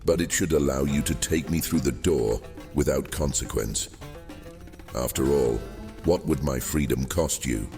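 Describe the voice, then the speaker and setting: A man playing an old, fading captive djinn in a fantasy audio drama, courteous and wheedling, with silky theatrical delivery and hesitant pauses. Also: extremely low pitch, darkish tone. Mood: wheedling, crafty. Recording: long cavernous reverb, music underneath. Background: music.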